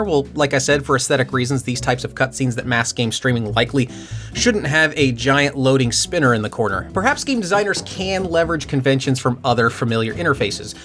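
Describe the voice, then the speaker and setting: Man giving dry, joking game commentary. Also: mid-range voice. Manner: dry humor, excited asides. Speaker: video essayist on game usability. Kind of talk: scripted commentary with jokes.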